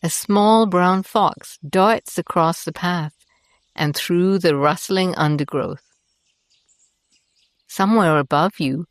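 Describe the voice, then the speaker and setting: A woman with a low voice guides a meditation at a very slow pace. Her delivery is gentle, soothing and deliberate. The sound is dry and close, with no room echo.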